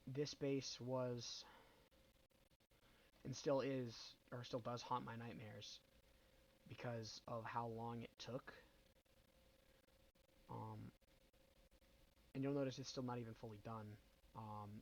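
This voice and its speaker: A man's voice: medium pitch, fairly even intonation, takes it slowly, creaky, long pauses, a little hiss or hum, poor audio. A young man with a bad cold hosting a casual game walkthrough, rambling and hesitant with many ums and self-corrections. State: nasal from a cold. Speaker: gaming channel host.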